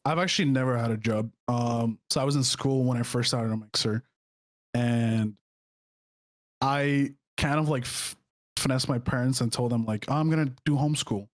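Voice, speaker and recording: A man's voice: medium pitch, moderate pace, no echo. Young Czech-born man, hesitant chat. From Czech Republic. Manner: hesitant, many fillers and restarts. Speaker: guest streamer. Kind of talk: casual podcast chat.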